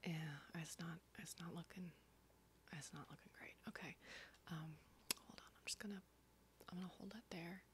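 A woman's voice: on the low side, normal speed, long pauses, slight background noise, lightly acted. A woman playing a brash, wisecracking zombie-apocalypse survivor in a whispered ASMR horror roleplay, casual, rambling and sweary. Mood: wry, focused.

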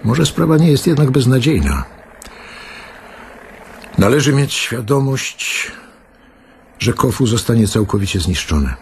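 A man playing a grave, plain-spoken doctor in a wartime radio drama, speaking in halting, deliberate phrases. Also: medium pitch, big pitch swings, creaky, slight background noise. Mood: grave, concerned.

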